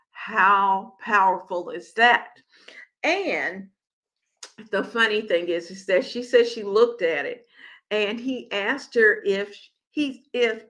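An older woman hosts a home cooking show and tells a story to her viewers. She speaks slowly and deliberately, with pauses and filler words, in a bright, forceful tone. She is recorded on a webcam in a room at home. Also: mid-range voice. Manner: slow, measured storytelling with many pauses and fillers. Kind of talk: casual talk to viewers.

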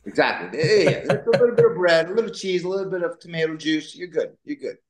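A man's voice: on the high side, lively intonation, quick pace. Playful man in a roomy, echoey space. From born in Taiwan. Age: 40s. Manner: playful, joking, digressive. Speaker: podcast guest. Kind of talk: casual conversational storytelling. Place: indoors in a pool house.

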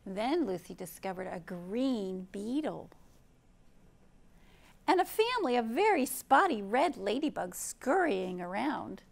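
A woman in her fifties leads a storytime for small children in an unhurried, fairly high voice with a creaky edge. She is gentle and expressive, keeping phrases simple and putting questions to her young listeners. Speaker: children's librarian hosting storytime. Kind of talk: read-aloud storytime for young children, with chat and song. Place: indoor library program room.

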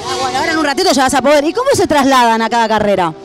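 Fast, high-voiced Argentine woman reporter. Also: lively intonation. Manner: upbeat, chatty questioning. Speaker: trackside reporter. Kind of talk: lively field interview. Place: outdoors at a crowded racetrack campsite. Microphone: handheld mic.

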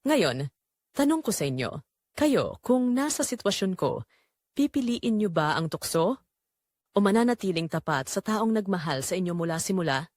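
A woman's voice: medium pitch, big pitch swings, normal speed, darkish tone, no room sound, clean studio sound. A woman narrating a scripted romance drama in the first person, with an emotive, sorrowful delivery.

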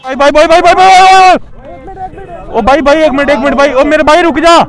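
A man's voice: extremely high pitch, brisk. A man urgently and repetitively pleading in the middle of a street fight. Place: roadside outdoors. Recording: outdoor phone clip amid a noisy crowd.

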